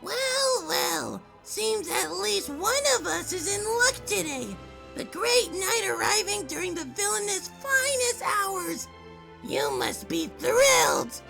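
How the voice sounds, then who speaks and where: A man's voice: extremely high pitch, big pitch swings, slow pace, gravelly, over music, pitched above the performer's own voice, exaggerated voice, rough recording. A man voicing a sly, sarcastic villainous pony with a mocking, theatrical delivery. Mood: bitter gloating.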